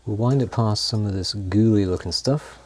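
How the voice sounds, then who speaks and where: A man casually talking through a tape machine repair, laughing often and pausing with lots of ums. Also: lowish voice, slight background noise. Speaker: host of an electronics repair channel. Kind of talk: casual hands-on commentary.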